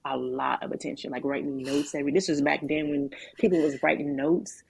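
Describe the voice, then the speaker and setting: A woman with a deep, low voice and a gravelly, husky edge speaks candidly about her past. She talks in a reflective, halting way, with many restarts and filler words. The sound is close and dry. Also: fairly even intonation, no echo. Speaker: guest sharing her testimony. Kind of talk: candid conversational testimony.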